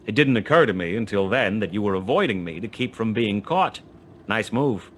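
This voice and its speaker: Noir radio play lead: male actor as terse, sardonic gumshoe narrator with a low voice and streetwise slang. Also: lively intonation, normal speed, a little hiss or hum, close to an everyday voice. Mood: wry, probing. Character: wry male hard-boiled private detective, narrating his own case. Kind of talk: 1940s noir radio play acting, first-person narration.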